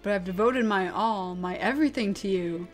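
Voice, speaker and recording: A woman's voice: mid-range voice, moderate pace, faint music behind, close to an everyday voice. A woman voicing a mad, gloating male villain in a mocking, melodramatic, anime-style performance. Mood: possessive. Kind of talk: anime-style dramatic character acting.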